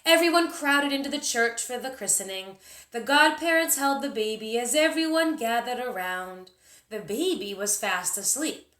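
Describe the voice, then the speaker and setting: A woman teacher reading a children's story aloud, expressive and deliberate in her telling. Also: fairly high pitch. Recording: roomy, echoing sound.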